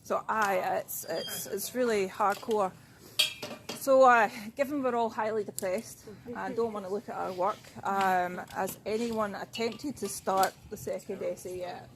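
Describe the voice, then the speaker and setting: A woman's voice: mid-range voice, fairly even intonation, unhurried, noisy background. A young woman student interviewing her classmates in a casual, blunt way, swearing freely. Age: young adult. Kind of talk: casual chat.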